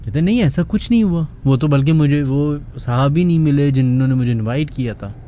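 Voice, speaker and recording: Very dark, mellow Pakistani male storyteller. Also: on the high side, a little fast, muffled recording, slight background noise. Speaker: host of a radio horror story show. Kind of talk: dramatic horror storytelling for radio. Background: music.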